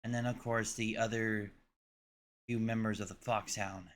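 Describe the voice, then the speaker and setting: A man's voice: fairly low pitch, flat delivery, moderate pace, a little hiss or hum. A man hosting a reaction video, talking casually and musing informally about what he watched.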